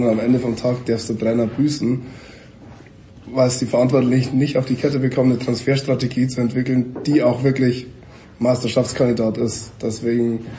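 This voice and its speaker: Flat, dark-voiced man arguing football. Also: medium pitch, slight background noise. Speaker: panel guest. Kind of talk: casual football debate.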